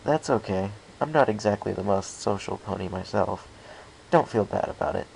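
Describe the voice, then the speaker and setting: A man's voice: fairly low pitch, lively intonation, normal speed, gravelly, darkish tone, a little hiss or hum, poor audio. A man voicing an awkward, shy, polite young male storybook pony with a hesitant manner. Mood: sheepish. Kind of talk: storybook character voice in fan fiction reading.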